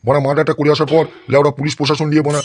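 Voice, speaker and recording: A man's voice: fairly high pitch, level tone, racing, noisy background, natural voice. A man voicing a crude, bullying, lecherous gangster politician in a comic cartoon, blustering and scolding. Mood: irritated, scolding. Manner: blustering, scolding, constantly swearing.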